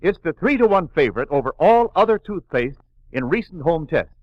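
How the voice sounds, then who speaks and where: Male 1940s radio announcer, animated, fairly high voice, emphatic sales read, slightly muffled old recording. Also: moderate pace. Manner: emphatic, polished sales delivery.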